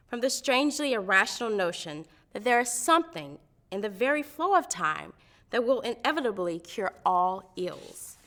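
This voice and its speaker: A woman reads a historic letter aloud. Her voice is bright and rather high, with very lively intonation. She phrases it like oratory, earnest and emphatic. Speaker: reader at a commemorative public reading.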